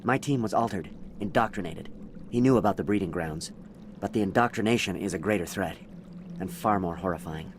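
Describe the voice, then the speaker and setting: Low-voiced, weary captive alien soldier played by a man. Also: fairly quick, slight background noise, lightly acted. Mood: haunted, bitter. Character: captured alien soldier, weary, bitter, stoic. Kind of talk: video game acting.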